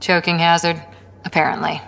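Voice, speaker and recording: A woman's voice: on the low side, flat delivery, moderate pace, gravelly, fairly bright tone. A woman playing a tough, disciplined soldier in a video game, speaking in a clipped, matter-of-fact way. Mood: dry, composed. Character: tough, disciplined female human soldier, dryly wry. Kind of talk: video game dialogue acting. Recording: long reverb.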